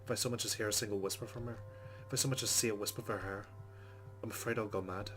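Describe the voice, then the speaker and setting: A man's voice: on the low side, fairly even intonation, quick pace, fairly bright tone. A man hosting a let's play, reading game narration aloud dramatically and adding muttered, sweary asides. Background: game music.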